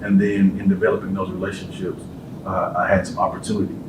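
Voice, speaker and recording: A man's voice: sits low, monotone, quick pace. A man, the panel host, telling a loose, laughing story in casual chat at a college event. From Texas. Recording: echoey hall mic from a distance, hissy.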